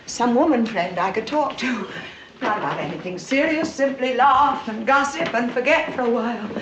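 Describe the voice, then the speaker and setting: A woman's voice: fairly high pitch, expressive, moderate pace, close to an everyday voice. A woman playing a lonely, suspicious, agitated mother in a theatrical stage drama. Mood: anxious, wistful. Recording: echoey theatre recording with audience noise.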